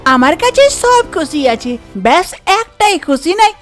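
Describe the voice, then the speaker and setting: Woman playing a high-pitched bossy young wife. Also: big pitch swings, a little slow, soft background music, exaggerated voice. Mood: demanding. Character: demanding rich young wife, frank and coquettish.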